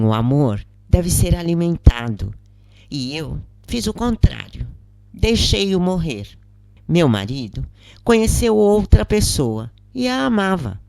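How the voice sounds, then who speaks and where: A woman voicing a rich dead woman's repentant spirit, confessing frankly and deliberately in an audiobook. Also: lowish voice, a little mellow, no room sound.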